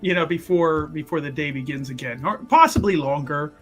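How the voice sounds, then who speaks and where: A man playing a tabletop roleplaying game, explaining his character's doings in a halting, rambling way full of fillers and restarts. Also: a little high, a bit bright, soft background music. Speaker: player. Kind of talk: actual-play tabletop roleplay.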